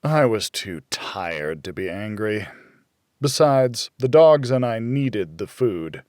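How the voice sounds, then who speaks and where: A man reading a hardboiled first-person thriller as an audiobook narrator, in a measured, dramatic storytelling way. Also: on the low side, very animated, a little mellow, no room sound, studio quality.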